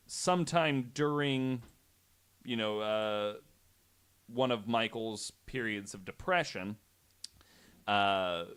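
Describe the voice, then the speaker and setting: A man with a fairly low, clean and smooth voice hosts a podcast. He tells his story conversationally, feeling his way through it haltingly, in loose, sweary everyday language. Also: a bit bright. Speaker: podcast host.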